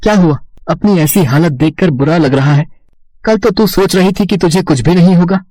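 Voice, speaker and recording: A man's voice: high-pitched, moderate pace, dark tone, no room sound, poor audio. A man voicing an arrogant, taunting magical fruit, a menacing villain in a moral bedtime story. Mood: mocking. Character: arrogant, spiteful magical talking mango, male, taunting and threatening.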